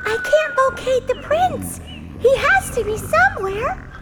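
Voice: extremely high pitch, lively intonation, a little slow, heavy background noise. A performer voicing an eager, motherly little good fairy who exclaims a lot. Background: music.

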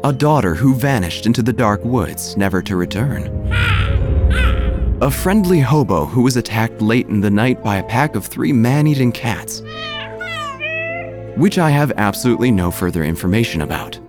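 A man's voice: mid-range voice, expressive, normal speed, close to an everyday voice. A man playing a droll, gossipy small-town church narrator, telling a scripted comic story with wry, theatrical phrasing. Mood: droll, confiding. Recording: music under the voice.

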